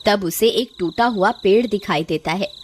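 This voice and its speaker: A woman's voice: mid-range voice, moderate pace, slight background noise. A woman giving scripted narration for a cartoon horror story.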